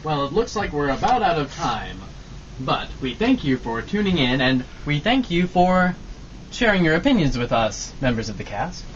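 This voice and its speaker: A man's voice: on the high side, very animated, moderate pace. A man announcing an informal sign-off for an amateur radio show. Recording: dull amateur recording with noise.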